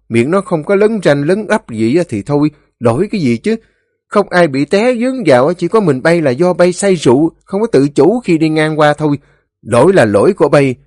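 A man's voice: low voice, no room sound, clean studio sound, voice pushed down. A man voicing a fair-minded, firm old village elder in an audio story, admonishing plainly with a Southern Vietnamese accent. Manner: admonishing, plain-spoken rural speech.

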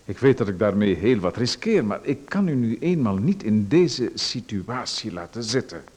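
A pushy, overfamiliar official, played by a man, ingratiating and insistent with a veiled edge to it. His voice swings up and down with lively pitch. This is an acted radio play. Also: normal speed, slightly rough. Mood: insinuating.

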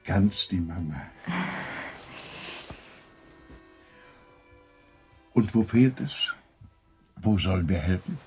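A man in a radio play acting an old, worldly-wise doctor, gravelly, courteous and shrewd, speaking in a measured, formal way. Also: low voice, lively intonation, a little mellow, faint music behind, heavily acted. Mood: courteous, soothing. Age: older.

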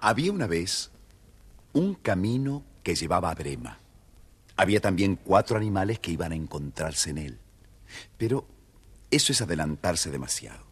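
A man's voice: low voice, very animated, normal speed, long pauses, slightly rough, exaggerated voice. A man narrating a scripted children's fairy tale as a genial storyteller. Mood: unhurried storytelling.

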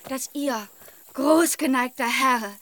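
A woman's voice: high voice, takes it slowly, a bit bright. An actress playing a young woman posing as a noble princess in a radio drama, formal, florid and imploring. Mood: imploring, lofty.

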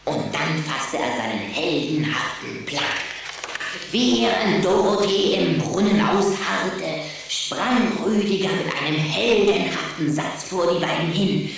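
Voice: medium pitch, animated, slow pace, vocal fry. An actor playing a sly, boastful young boy vampire in a children's audio drama, pompous, bossy and teasing. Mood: pompous, declaiming. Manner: pompous, grandly declaiming, teasing. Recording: very echoey, distant, faint noise.

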